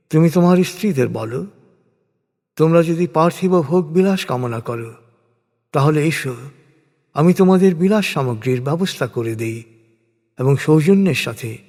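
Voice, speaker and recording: A man narrating translated scripture from a script in a solemn, formal, measured way with deliberate pauses. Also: on the high side, animated, a little mellow. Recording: long reverberant hall-like echo.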